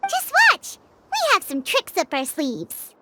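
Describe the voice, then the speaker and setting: A woman voicing a tiny childlike fairy companion in a video game, squeaky, cutesy, excitable and warmhearted. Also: takes it slowly, very noisy, heavily acted. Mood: playful, eager. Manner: third-person speech, expressive, cutesy.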